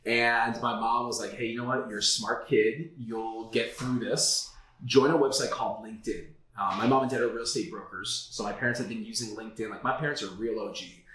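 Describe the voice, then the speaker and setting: A man's voice: fairly low pitch, fairly even intonation, fairly quick, a bit bright. A man in his thirties from South Florida, a guest telling his career story in a casual interview, candid and full of "like" and "uh". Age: 30s. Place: office. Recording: echoey room.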